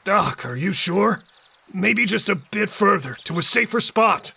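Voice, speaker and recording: Casting: man playing an earnest, gravelly male survivor, slightly high. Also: lively intonation, measured pace, pushed and bright, slight background noise, heavily acted. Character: Cole, young male survivor, ex-delivery driver.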